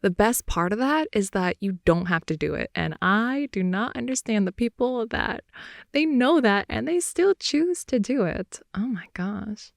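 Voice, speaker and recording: A young woman improvising an intimate ASMR girlfriend roleplay, rambling in a playful, slangy way and slipping between soft whispers and more animated talk, close to the mic. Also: medium pitch, a little slow, creaky, dry recording. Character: wide-awake chatty girlfriend, silly and playful. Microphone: close microphone, dry sound.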